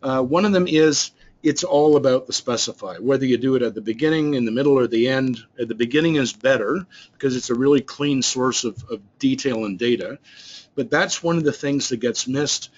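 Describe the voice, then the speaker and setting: A man's voice: medium pitch, fairly quick, dull audio. A man working as a business trainer, talking through slides in a loose, rambling way with many restarts and fillers. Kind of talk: explaining slides in a recorded webinar.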